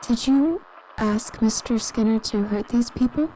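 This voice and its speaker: An actress playing a calm, measured female interrogator who gently probes with questions in an audio drama. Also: on the high side, lightly acted, rough recording. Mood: pressing. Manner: measured, controlled, gently probing. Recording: clearly audible background noise.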